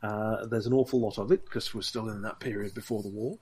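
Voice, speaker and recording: A man's voice: on the low side, steady tone, fairly quick, a little mellow, husky. A man, narrating as game master, hesitant and full of ums and you-knows.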